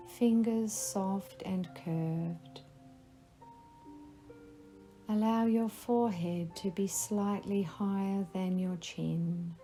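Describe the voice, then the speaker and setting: A woman guiding a relaxation meditation, giving slow, soothing instructions. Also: medium pitch, mellow. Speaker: meditation guide. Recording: faint music behind the voice.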